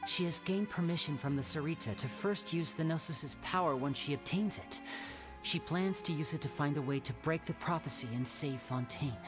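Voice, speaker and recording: A man's voice: on the high side, close to an everyday voice. Actor as an earnest, composed young man. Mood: solemn. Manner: measured, sincere, solemn explaining. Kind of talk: anime video game voice acting. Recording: music clearly playing behind the voice.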